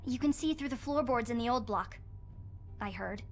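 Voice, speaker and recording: A woman's voice: on the high side, moderate pace, a little hiss or hum, lightly acted. An actress playing an eager, hesitant teenage schoolgirl in a video game cutscene, talking in casual teen phrasing.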